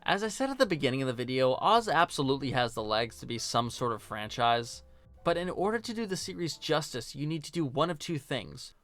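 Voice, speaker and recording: A man's voice: medium pitch, sing-song, a little fast, a bit bright, no echo, faint music behind. A man narrating a scripted film review in a casual, joking, sarcastic and colloquial way. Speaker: video host and film reviewer.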